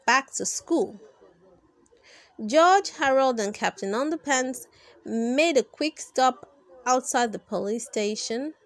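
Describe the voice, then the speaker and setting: A woman narrates a children's story with a fairly high voice and very lively pitch movement. She takes her time, leaning dramatically on key words. The sound is close and dry. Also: no room sound. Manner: dramatic, expressive delivery with drawn-out emphasis. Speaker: storyteller reading a children's book aloud. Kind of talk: animated read-aloud narration for kids.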